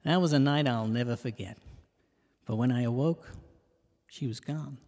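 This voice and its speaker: A man playing a cheeky, self-mocking detective who narrates a comic audio drama in rhyme, landing puns deadpan. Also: medium pitch, moderate pace, close to an everyday voice. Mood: wry, cheeky. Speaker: narrator and lead character. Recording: reverberant hall sound.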